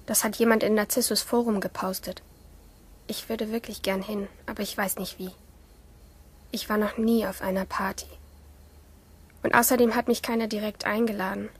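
A woman's voice: medium pitch, fairly even intonation, moderate pace, long pauses, breathy edge. A woman playing a lonely, curious teenage girl who narrates a radio drama as an intimate inner monologue. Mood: wistful, secretive. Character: lonely, curious teenage girl watching life through screens.

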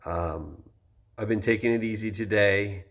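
A man's voice: on the low side, measured pace. A man in his thirties giving a casual, rambling video review, congested and a little tired after nose surgery, recorded on a close-held phone indoors. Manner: relaxed and rambling, many ums and restarts. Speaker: vlogger. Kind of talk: casual first-person review. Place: indoor room with high ceiling. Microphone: phone camera held close.

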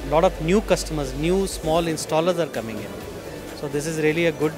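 A man answers quickly and emphatically, leaning on the word "see," in a fairly high voice. He speaks into a handheld mic at an expo stall, and music plays behind him. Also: lively intonation. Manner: emphatic, says "see" often. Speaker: exhibitor. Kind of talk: casual interview answers.

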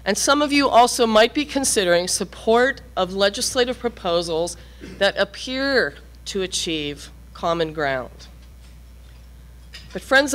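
A middle-aged woman gives a prepared speech into a lectern microphone in a meeting room. Her voice is mid-pitched and her delivery measured and solemn. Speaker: church advocacy director giving a speech.

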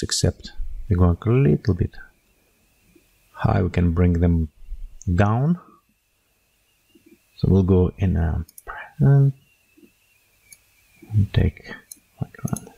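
Tutorial narrator: man, deep gravelly husky voice, dark tone, dry close mic, thinks aloud while clicking. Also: poor audio. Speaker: software tutorial instructor. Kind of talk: screen-recorded software tutorial.

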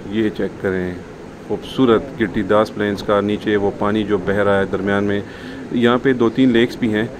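A man with a Pakistani accent giving casual commentary as a travel vlogger outdoors. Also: fairly low pitch. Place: outdoors on a mountain pass, partly riding a motorbike. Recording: noisy outdoor recording with wind and vehicle noise.

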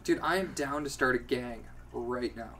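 A man's voice: mid-range voice, normal speed, a bit bright. A man in his twenties co-hosting a casual podcast, boisterous and joking, with slangy, profane talk. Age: 20s. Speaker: podcast co-host. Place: furnished home room, indoors. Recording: hissy room noise, distant mic.

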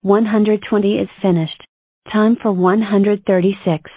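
A woman's voice: medium pitch, steady tone, measured pace, warm tone, no room sound, close to an everyday voice, poor audio. A woman voicing an earnest, chatty number block in a children's counting cartoon, with stiff, formulaic delivery.

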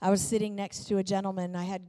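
A woman's voice: medium pitch, flat delivery, fast, dark tone. A middle-aged woman pastor preaching a sermon with personal stories, speaking emphatically into a handheld microphone on a church stage. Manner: emphatic and fervent, with repeated phrases. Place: large church auditorium, on stage.

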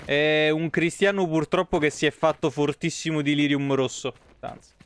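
A man's voice: on the high side, fairly even intonation, moderate pace, a little hiss or hum. A man in his thirties with a Roman Italian accent, giving casual, slangy live game commentary and chatting with viewers in rambling asides. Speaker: game streamer.

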